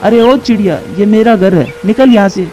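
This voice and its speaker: A man voicing a gruff, commanding male pigeon householder in a cartoon, on slightly dull audio. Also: high voice, normal speed, dark tone, natural voice. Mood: angry. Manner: scolding, commanding.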